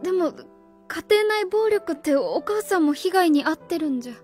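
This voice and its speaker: A woman's voice: very high voice, expressive, moderate pace, a little mellow. A woman playing an earnest, easily flustered schoolteacher in a radio drama, speaking emphatically and stammering when challenged. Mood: concerned. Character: earnest, flustered female schoolteacher defending herself. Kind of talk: Japanese radio drama acting. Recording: music behind the voice.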